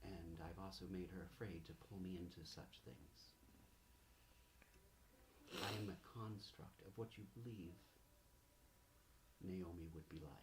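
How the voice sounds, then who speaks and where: Man voicing conjured copy of a woman, proper, condescending, measured, low pitch, tabletop role-play. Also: fairly even intonation, echoey room, background noise. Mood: aloof, explanatory. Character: proper, superior construct imitating a woman.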